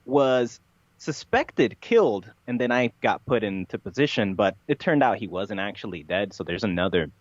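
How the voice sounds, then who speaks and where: A man telling his story as a podcast guest in a casual, matter-of-fact deadpan, with halting phrasing. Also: on the low side, dry recording. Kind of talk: casual interview storytelling, played straight. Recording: dull, slightly lo-fi podcast audio.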